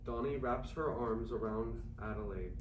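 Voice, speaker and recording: A man's voice: mid-range voice, normal speed. A man reading stage directions aloud in a dramatic scripted style at a staged play reading. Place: room at a live staged reading, far from the mic. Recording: roomy, distant mic, noisy room.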